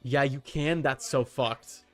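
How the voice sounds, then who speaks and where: A man's voice: mid-range voice, steady tone, takes it slowly, faint music behind. A man chatting casually and swearing as he livestreams while setting up a game character. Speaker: streamer.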